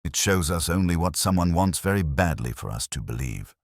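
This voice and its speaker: A man voicing a calm, shrewd police inspector in a detective story, speaking in measured aphorisms. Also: deep voice, dry recording, clean studio sound. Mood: unreadable.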